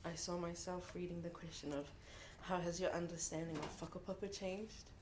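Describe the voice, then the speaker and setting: Earnest young New Zealand woman, lowish voice. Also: fairly even intonation, noisy background. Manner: reflective and earnest, with frequent pauses. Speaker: contributor speaking at a book launch. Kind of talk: heartfelt speech to an audience. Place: event room at a book launch.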